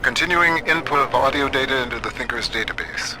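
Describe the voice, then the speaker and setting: A man playing an earnest, world-weary computer scientist in a dystopian video game, speaking in a measured, folksy, plain way. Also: mid-range voice, noisy background, close to an everyday voice. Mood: grave, reflective. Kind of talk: video game voice acting, radio messages and audio diaries.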